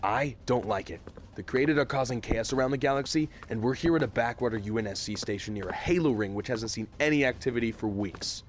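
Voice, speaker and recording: A man's voice: medium pitch, brisk, slight background noise, lightly acted. A man playing a frank, dutiful Marine captain in an audio drama, speaking in a direct military manner. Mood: frustrated.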